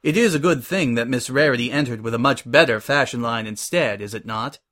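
A man voicing a formal, measured female pony boss, stern but kind, in a story reading. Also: medium pitch, a bit bright, natural voice. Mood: matter-of-fact.

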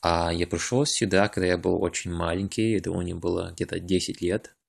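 Hesitant low-voiced man, very flat. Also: creaky. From Russia. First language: English now dominant. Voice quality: hesitant, many fillers and pauses searching for words. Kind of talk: casual monologue recording.

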